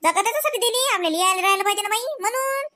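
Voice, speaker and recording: Woman playing a high-pitched gossipy Varhadi village auntie. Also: expressive, very fast, bright, forceful tone, full character voice. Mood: indignant, chatty. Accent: Varhadi Marathi from Vidarbha. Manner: gossipy, exclamatory, colloquial. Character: chatty rural Marathi village aunties gossiping, animated cartoon. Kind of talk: comedy cartoon village dialogue.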